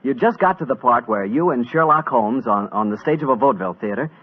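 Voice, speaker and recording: A man announcing and pitching a sponsor on 1940s radio in a folksy, conversational way. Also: animated, fast talker. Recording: muffled vintage radio recording.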